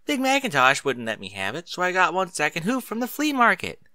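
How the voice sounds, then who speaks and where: A man's voice: high voice, huge pitch swings, brisk, sharp tone, no room sound, studio quality, voice pushed up, exaggerated voice. A man voicing a young pony filly, eager, stubborn and cheeky, with folksy informal talk, in a story reading. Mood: indignant protest.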